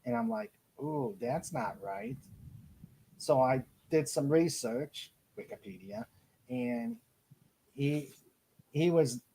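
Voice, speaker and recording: A man from Ohio with an American accent, hosting a casual live chat and often halting as he searches for words. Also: on the high side, very animated, vocal fry, dark tone, a little hiss or hum, poor audio. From Cleveland, Ohio, United States. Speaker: podcast host. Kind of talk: casual live chat, reading out listener comments.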